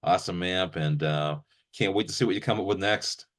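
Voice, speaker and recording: Very low-voiced man hosting an interview. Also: fairly quick. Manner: informal, appreciative. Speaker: interviewer and video channel host. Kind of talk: casual interview chat.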